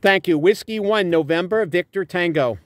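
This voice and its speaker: A man's voice: high voice, moderate pace, dry recording. An older man working as an amateur radio operator, calling out in clipped, procedural phonetic alphabet from inside a parked vehicle.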